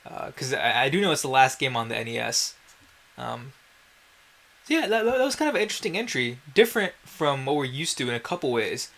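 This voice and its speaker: Guy doing a casual lets play, wry and self-deprecating, swears a bit, medium pitched voice. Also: sing-song, a little fast, creaky, fairly bright tone. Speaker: let's play host. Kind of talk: casual gameplay commentary.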